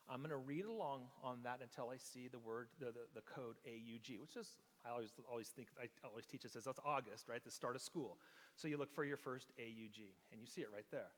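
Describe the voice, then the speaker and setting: A man's voice: mid-range voice, a bit bright. A man preaching a teaching sermon, explaining step by step and often checking in with the congregation. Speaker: pastor. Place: church sanctuary. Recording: long hall reverb.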